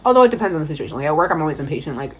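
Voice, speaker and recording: A woman's voice: deep voice, sing-song, rapid-fire, a little hiss or hum. Blunt, sarcastic young woman. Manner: blunt, sarcastic, swears freely. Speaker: co-host. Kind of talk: casual group chat.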